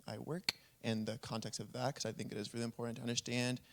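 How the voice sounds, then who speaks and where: A man's voice: mid-range voice, little pitch movement, quick pace, noisy background. A man from Puerto Rico speaking as a panelist at a conference, explaining his work in an earnest, step-by-step way. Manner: earnest, explanatory, lists examples.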